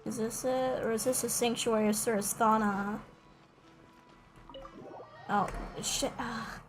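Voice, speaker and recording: A woman's voice: fairly high pitch, steady tone, slow. A woman chatting casually and musingly, with some hesitation, as she commentates on a game livestream. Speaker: streamer. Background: faint game music.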